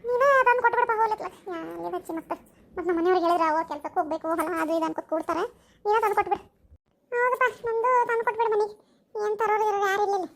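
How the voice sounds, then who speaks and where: A woman's voice: extremely high pitch, very fast. A woman voicing a bossy, chatty village mother in an amateur cartoon, nagging and homely, with a North Karnataka accent. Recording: faintly noisy home recording.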